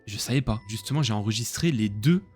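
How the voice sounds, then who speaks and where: A man's voice: fairly low pitch, lively intonation, fast, darkish tone, breathy edge, soft background music. A young man hosting a live stream in casual chat, rambling and hesitant with lots of fillers. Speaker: streamer host.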